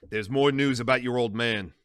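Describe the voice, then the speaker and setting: A man voicing a blunt, loud middle-aged mob lieutenant. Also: mid-range voice, moderate pace, clear, resonant tone, dry recording, clean studio sound, lightly acted.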